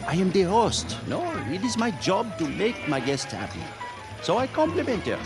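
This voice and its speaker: An actor playing a charming, flirtatious French ski lodge host in a radio drama, suave and courtly with a French accent. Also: male voice, high voice, big pitch swings, normal speed, music in the background. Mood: indignant, defensive.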